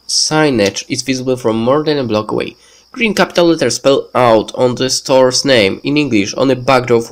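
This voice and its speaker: A man who is a bit sick hosts a let's play, reading a visual novel script aloud in a halting, stumbling way. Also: medium pitch. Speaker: let's play video host. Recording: music behind the voice. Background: background game music.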